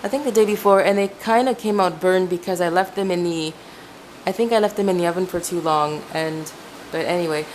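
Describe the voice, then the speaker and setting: Woman, from Ecuador, Spanish first language, lowish voice, rambling home cooking chat, faint noise. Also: brisk, a little mellow, rough recording. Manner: chatty, rambling, restarts and self-corrections. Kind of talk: casual kitchen chat. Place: home kitchen. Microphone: camera mic, speaker off screen.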